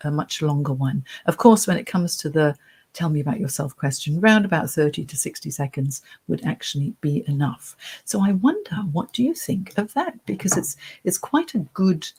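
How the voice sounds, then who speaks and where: Soft low-voiced career coach lady, bit husky, walking you thru her screen on a computer mic. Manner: chatty, reassuring, talks through clicks. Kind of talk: screen-share tutorial.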